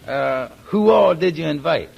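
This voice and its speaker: An actor playing a concerned, kindly friend with folksy dialect speech, in 1940s radio drama style. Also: male voice, on the high side, lively intonation, unhurried, vocal fry, slightly rough, lo-fi sound, full character voice. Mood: curious. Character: Amos, concerned, kindly friend of a sad man. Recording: faintly noisy 1940s radio recording.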